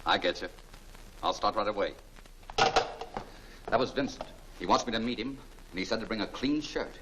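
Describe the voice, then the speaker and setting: An actor playing a calm, quick-witted gentleman hero in a 1940s film serial. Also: fairly low pitch, close to an everyday voice. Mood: composed. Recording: very noisy old film soundtrack.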